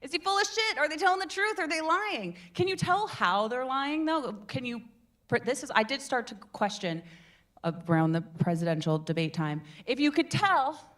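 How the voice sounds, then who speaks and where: A young woman leading a casual public speaking workshop, chatty and animated, breaking off mid-sentence and asking the group questions. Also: high voice, moderate pace. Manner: chatty, animated, self-interrupting, asks the room questions. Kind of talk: informal workshop teaching. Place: meeting room with a live group.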